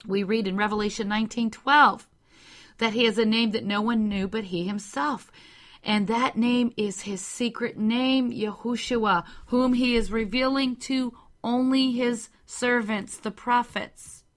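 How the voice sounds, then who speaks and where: A woman giving a slow, measured religious teaching, carefully spelling out the meanings of words. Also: a little high, fairly even intonation. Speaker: Bible teacher.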